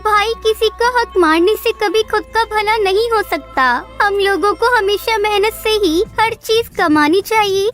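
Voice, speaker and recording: A woman voicing an anxious, earnest and gentle mother bird in a children's cartoon story, with a pleading manner. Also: very high-pitched, unhurried, hardly any pauses, soft background music.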